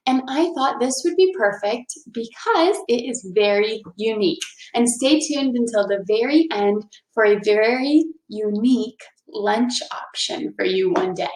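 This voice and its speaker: A woman teacher reading a picture book aloud and explaining things simply for young children. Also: a little high. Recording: roomy, echoing indoor sound.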